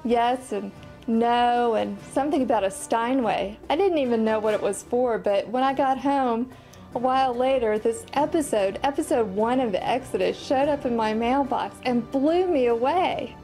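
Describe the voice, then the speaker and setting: Female interviewee with a fairly high, soft mellow tone, recalling creative work over faint music. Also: moderate pace, low-quality recording. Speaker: studio collaborator and voice actor. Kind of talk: documentary interview reminiscence.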